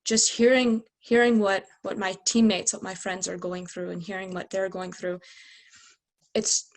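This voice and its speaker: A woman's voice: mid-range voice, level tone, unhurried. A young woman, a student athlete leader, speaking reflectively and searching for words. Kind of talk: roundtable discussion.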